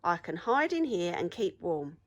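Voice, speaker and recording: A woman's voice: lowish voice, very animated, measured pace. A woman voicing a grumpy male troll in a children's storybook, emphatic and hungry for goat. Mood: pleased. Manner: bellowing, emphatic.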